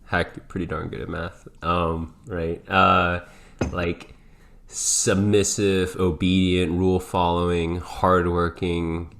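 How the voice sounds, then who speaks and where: A man co-hosting a podcast in casual, reflective conversation, speaking hesitantly as he thinks out loud. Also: low-pitched, level tone, slow. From grew up in several countries. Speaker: podcast co-host.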